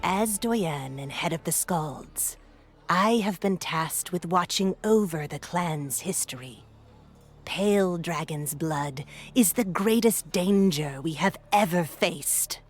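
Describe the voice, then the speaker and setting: A solemn female keeper of clan lore in a fantasy game. Her voice is very low and husky, with formal, measured phrasing. Faint music plays underneath. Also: expressive. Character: learned woman elder heading a clan of chroniclers, solemn. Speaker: clan historian and leader. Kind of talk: video game cutscene acting.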